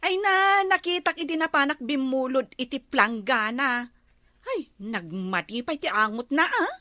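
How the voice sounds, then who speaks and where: Woman acting a shrill, nagging Ilocano housewife. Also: big pitch swings, measured pace, sharp tone, dry recording, full character voice. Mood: gossipy. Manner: complaining, scolding. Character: busy, nagging, exasperated wife and mother. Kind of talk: Ilocano radio drama acting.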